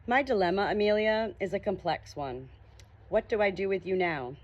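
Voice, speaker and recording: A woman's voice: medium pitch, dull audio, lightly acted. A woman voicing a creepy, smug male ghost in an audiobook, condescending and theatrical, drawing out his words. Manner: condescending, drawn-out, dramatic delivery.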